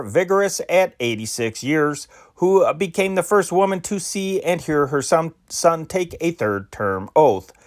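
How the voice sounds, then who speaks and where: A man reads archival newspaper stories aloud in a steady, emphatic cadence. His voice sits a bit higher than most men's, and he sometimes trips over a word and restarts. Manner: measured, emphatic reading with occasional stumbles and restarts. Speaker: news reader.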